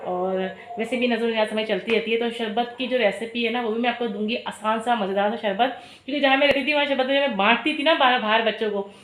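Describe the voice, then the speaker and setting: A woman's voice: fairly high pitch, steady tone, quick pace, few pauses, fairly bright tone. A woman vlogging at home in her kitchen, chatty and talking viewers through each step, with a sore throat. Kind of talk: casual cooking vlog narration.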